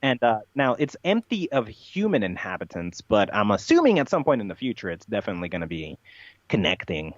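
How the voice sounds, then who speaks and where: Animated man on a dull lo-fi recording. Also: on the low side, a little fast, no echo. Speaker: podcast guest being interviewed. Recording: dull, lo-fi, dry sound.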